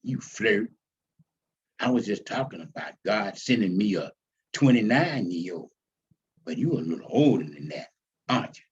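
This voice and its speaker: A man's voice: on the low side, expressive, normal speed, long pauses, slightly rough, highs cut off, pitched below the performer's own voice. A man voicing an elderly, devout, feisty grandmother in a folksy, teasing, preaching manner. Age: older.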